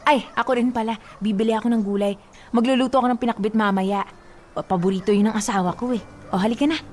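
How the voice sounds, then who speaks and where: A woman's voice: fairly high pitch, fairly even intonation, fast, darkish tone, a little hiss or hum, natural voice. Woman acting a feisty, gossipy housewife. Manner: chatty, blunt, gossipy. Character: feisty, nosy housewife friend, bold and protective. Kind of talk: radio drama acting.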